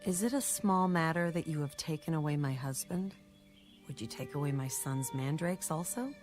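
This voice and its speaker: An actress playing a proud, resentful wife and mother of ancient times in a dramatized audio Bible, speaking in a formal, deliberate way. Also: low voice, close to an everyday voice. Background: music.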